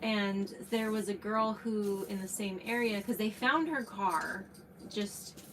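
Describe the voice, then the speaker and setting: Woman guest, mid-pitch, rambling conversational storyteller. Noisy background. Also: slow pace, recorded from a distance. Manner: rambling, many "like"s. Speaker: guest, true crime video creator. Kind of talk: casual chat, storytelling.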